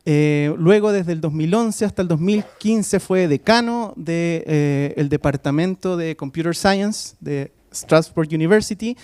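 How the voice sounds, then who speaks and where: A man's voice: a little high, a little slow, few pauses, a little mellow, clear, resonant tone, dry recording, studio quality. Hesitant man introducing a guest. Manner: hesitant, many filler sounds, switches between Spanish and English. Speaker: faculty host introducing a guest speaker. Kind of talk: formal talk introduction. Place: university lecture hall.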